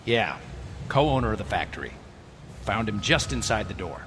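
A man playing a dry, patient small-town police detective with a terse, deadpan delivery, in radio mystery style. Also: on the low side, normal speed. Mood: dry, businesslike. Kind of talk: old-time radio mystery acting. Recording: long hall reverb, faint ambient noise.

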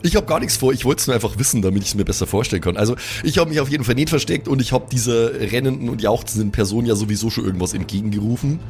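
A man's voice: mid-range voice, rapid-fire, few pauses, a little mellow, soft background music. A man chatting casually and colloquially as he plays an improvised tabletop role-playing game. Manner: colloquial, slangy.

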